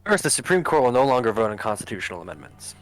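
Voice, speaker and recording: A man voicing a formal government adviser who briefs the president in a measured, expository way in a political game. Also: mid-range voice, very animated, lightly acted. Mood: formal briefing. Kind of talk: scripted political game voice-over. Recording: music under the voice. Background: background music.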